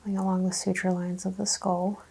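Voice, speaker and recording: Female ASMR practitioner role: gentle, half-whispered, husky and soft-toned, calm exam-room questions and explanations. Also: fairly low pitch, low-quality recording. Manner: gentle, often dropping into a whisper; explains and questions in short unhurried phrases. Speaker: ASMR host playing a chiropractor.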